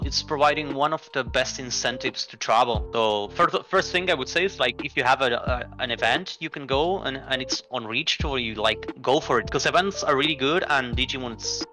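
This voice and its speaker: A man's voice: medium pitch, normal speed, few pauses, bright, forceful tone, soft background music, rough recording. A man from Argentina, a card game player guesting in an interview, talking easily with frequent restarts and fillers. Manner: easygoing, restarts often, many likes and rights. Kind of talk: casual chat.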